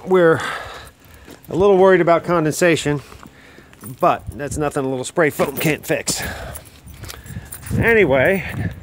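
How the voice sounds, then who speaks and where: A man's voice: fairly high pitch, measured pace, long pauses, slight background noise. A middle-aged home builder filming a casual, plain-spoken walkthrough of a construction site outdoors on a handheld phone, explaining as he goes with fillers and restarts. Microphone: handheld phone camera.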